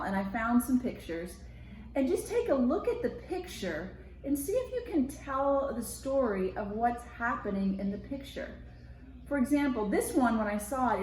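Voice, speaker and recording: A woman's voice: on the high side, a little mellow. An American woman, a school media specialist, talking casually and directly to children and explaining activities in a read-aloud lesson. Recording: roomy echo with background noise.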